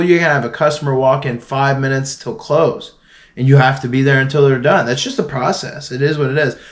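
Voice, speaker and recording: A young man from Florida talking earnestly to camera in a casual motivational vlog, with frequent restarts and fillers. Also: mid-range voice. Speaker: vlogger.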